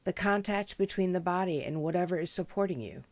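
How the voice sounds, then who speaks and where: Woman doing a guided body scan, gentle and measured, teacher style, dry recording. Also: lowish voice, no room sound. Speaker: meditation teacher.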